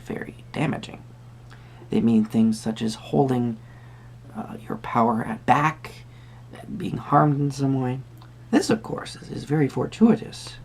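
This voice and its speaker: Gravelly, hesitant, musing tarot reader. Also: mid-range voice, darkish tone. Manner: hesitant, musing, wordy formal phrasing. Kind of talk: soft-spoken roleplay tarot reading addressed to the viewer.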